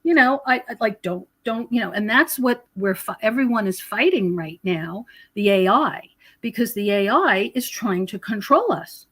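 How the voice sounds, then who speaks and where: A woman's voice: medium pitch, expressive, normal speed, a bit bright. An American woman speaking conversationally as a podcast guest, explaining her ideas with emphasis. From United States. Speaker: podcast guest, researcher of prophecy and UFOs.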